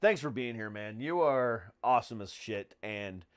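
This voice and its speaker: A man's voice: on the low side, animated, moderate pace. An American man hosting a podcast in casual chat, speaking bluntly and swearing freely. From United States. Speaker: podcast host and comedian.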